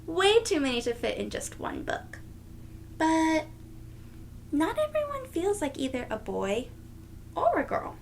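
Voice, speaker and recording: Slow, high-pitched woman reading to children. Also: wildly swooping pitch, creaky, a little hiss or hum. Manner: deliberate, teacherly, sing-song phrasing for young children. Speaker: read-aloud storyteller. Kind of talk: children's picture book read-aloud.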